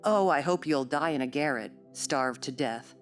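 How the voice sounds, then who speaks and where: A woman's voice: low voice, moderate pace, soft background music, lightly acted. A woman voicing a peevish, sickly teenage boy who whines and complains fretfully in an audiobook story. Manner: whining, complaining.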